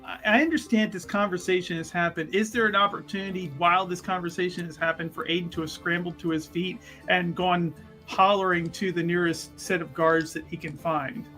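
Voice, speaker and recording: A man playing a tabletop roleplaying game, talking casually, with an animated, emphatic manner. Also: sits high, brisk, soft background music.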